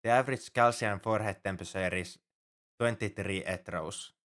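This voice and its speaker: A man's voice: lowish voice, moderate pace, fairly bright tone, lightly acted. A man playing an anxious, overprotective alien crewmate in an audio roleplay, speaking formally and earnestly in a fretful, easily flustered way. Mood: earnest, explaining. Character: anxious, paranoid male alien crewmate fussing over human. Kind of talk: ASMR roleplay audio drama.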